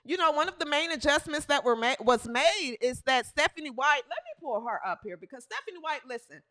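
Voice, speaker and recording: A woman in her thirties hosting a live sports talk stream, animated and playful, with bursts of shouting. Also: high-pitched, a little fast. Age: 30s. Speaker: podcast host.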